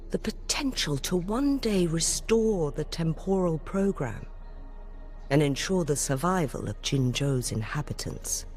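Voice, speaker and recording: Grave, slow-spoken female elder. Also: lowish voice, darkish tone. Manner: deliberate, formal, reflective. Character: grave, caring female elder guardian, reflective and dignified. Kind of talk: scripted video game voice acting. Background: music behind the voice.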